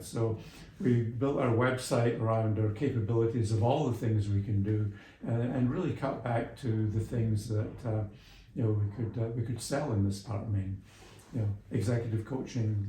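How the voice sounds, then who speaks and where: A man's voice: lowish voice, mellow, a little hiss or hum. A middle-aged or older man being interviewed, explaining things through anecdotes in a conversational, somewhat hesitant way. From Scotland and England. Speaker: interview guest, small business mentor and executive coach. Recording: roomy, echoing sound.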